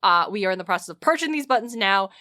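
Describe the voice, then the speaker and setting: A woman's voice: medium pitch, animated, racing, sharp tone. A woman co-hosting a podcast in casual, slangy, emphatic conversation. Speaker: podcast co-host.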